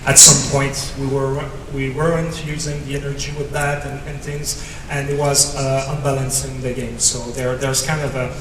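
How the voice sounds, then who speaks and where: A man answering as a game developer on a convention panel, halting and repeating words as he searches for phrasing. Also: medium pitch, level tone. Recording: echoey hall, far from mic, noisy.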